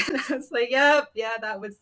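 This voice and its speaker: A woman's voice: fairly high pitch, normal speed, bright, forceful tone. A woman panelist telling a casual anecdote about herself in an informal, self-deprecating way. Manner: self-deprecating, chuckles while talking.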